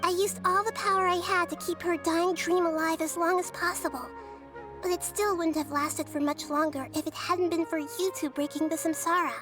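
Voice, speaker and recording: A woman playing a small, thoughtful goddess in a video game, speaking in a measured, earnest, childlike way. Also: very high voice, fairly even intonation, music in the background. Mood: earnest, explanatory.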